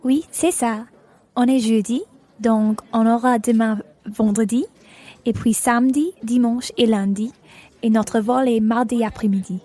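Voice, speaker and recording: A woman's voice: fairly high pitch, fairly even intonation, measured pace, dark tone. A woman playing a pleasant, polite holidaymaker at dinner with friends in a scripted language-course radio play. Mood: polite, agreeable. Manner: polite, agreeable.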